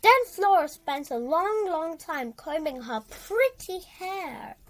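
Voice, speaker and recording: A child reading a storybook aloud with dramatic emphasis, halting and repeating words along the way. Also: extremely high pitch, animated.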